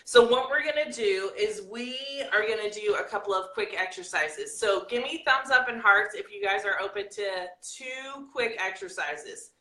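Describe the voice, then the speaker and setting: A woman with a bright, forceful voice coaches her viewers live on camera. She talks in a lively way and reads comments aloud, then slows into a soft guided breathing exercise. Her voice rings a little in an echoey room. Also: medium pitch. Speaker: life coach hosting a live video.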